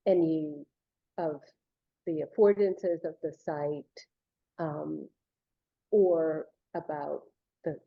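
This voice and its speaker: A woman academic speaking in a measured way over a video call, with some fillers. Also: low voice, creaky, husky. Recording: dull lo-fi call audio.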